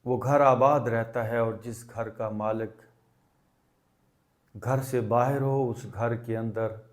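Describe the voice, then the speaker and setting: A man leading a guided meditation as a teacher, speaking deliberately and instructively in short phrases with pauses. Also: medium pitch, fairly even intonation.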